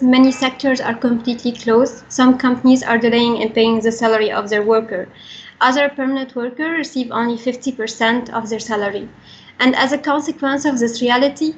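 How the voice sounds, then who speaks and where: A young woman with an Egyptian accent giving a prepared talk in an earnest, formal manner with careful phrasing. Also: on the high side. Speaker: regional coordinator for a young workers' organisation. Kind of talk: prepared talk, reading statement. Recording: dull, band-limited recording.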